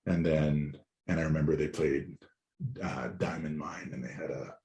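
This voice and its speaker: A man in his forties, a musician guest with a raspy, hoarse voice, talking in a loose, rambling way that is sprinkled with swearing. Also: very deep voice, fairly even intonation, normal speed, mellow, muffled recording. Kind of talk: casual chat.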